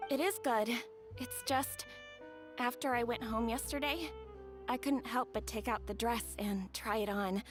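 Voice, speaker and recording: High-voiced, earnest young bride-to-be played by a woman. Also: unhurried, music in the background, close to an everyday voice. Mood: earnest, hesitant. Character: sweet young bride-to-be, earnest, humble and grateful. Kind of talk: video game voice acting.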